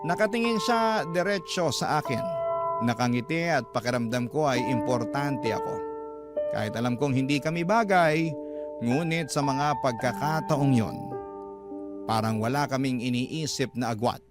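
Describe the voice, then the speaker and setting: Filipino man narrating over loud music. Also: medium pitch, moderate pace. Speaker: radio host reading a listener's letter. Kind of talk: scripted first-person story narration. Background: loud background music.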